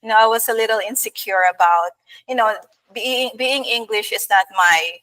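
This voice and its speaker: A woman's voice: fairly high pitch, moderate pace, sharp tone, distant mic, low-quality recording. A woman from the Philippines with a Filipino accent, a radio host talking earnestly in a panel chat, with frequent fillers.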